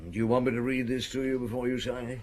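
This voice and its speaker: A male actor plays a seasoned French chief inspector in a radio mystery. His voice is medium in pitch, official and patiently probing, pausing on thoughtful hums. There is faint background noise on the recording. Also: level tone, a little mellow, close to an everyday voice. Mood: probing, methodical. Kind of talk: radio drama acting, reminiscing and interrogating.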